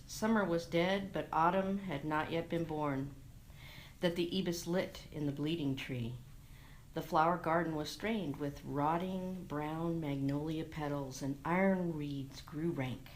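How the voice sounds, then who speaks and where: A woman giving a dramatic reading of a literary short story, expressive and measured, recorded on a lavalier mic. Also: low-pitched, a little hiss or hum, poor audio. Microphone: lavalier microphone into a phone.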